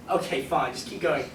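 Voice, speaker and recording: A man presenting casually at a convention panel, rambling in an excitable, self-deprecating way. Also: a little high. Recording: echoey, noisy hall, distant microphone.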